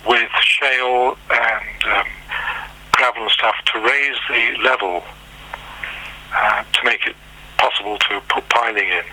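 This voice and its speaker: An older man from Liverpool, recalling his life in an oral history interview, unhurried and rambling with frequent pauses and restarts. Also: mid-range voice, bright, forceful tone, low-quality recording. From Liverpool, England.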